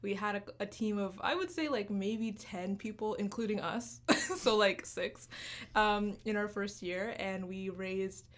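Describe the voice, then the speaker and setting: Young woman in her 20s on a radio interview, earnest, says like and um a lot. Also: mid-range voice. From Uganda, raised in Canada. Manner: earnest, talks quickly with fillers like "like" and "um". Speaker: student club founder, guest. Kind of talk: radio interview, casual chat.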